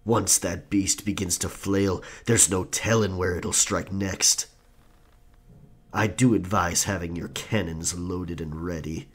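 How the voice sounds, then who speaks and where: Slow, husky, vengeful captain. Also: male voice, low-pitched, fairly even intonation, heavily acted. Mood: grim, wary. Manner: slow, theatrical, archaic seafaring speech. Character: vengeful, grief-stricken old pirate captain, widowed father. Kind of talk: dramatic pirate roleplay audio.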